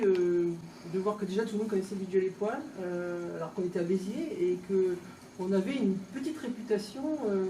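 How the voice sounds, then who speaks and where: Female local official, mid-pitched, informal unscripted speech with hesitant conversational flow; distant pickup with clear background noise. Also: warm tone. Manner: conversational, halting off-the-cuff delivery. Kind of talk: informal opening speech at an exhibition. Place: indoors, tourist office exhibition hall. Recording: echoey room, distant mic, background noise.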